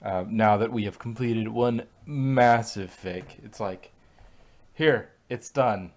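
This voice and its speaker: A man's voice: fairly low pitch, slow. A man chatting casually as the co-host of a show. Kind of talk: casual chat.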